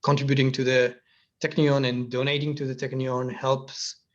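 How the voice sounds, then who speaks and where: Flat-voiced Israeli man on a video call. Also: mid-range voice, moderate pace. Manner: hesitant, many fillers. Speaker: panelist. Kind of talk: casual panel talk over video call. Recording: muffled video call audio.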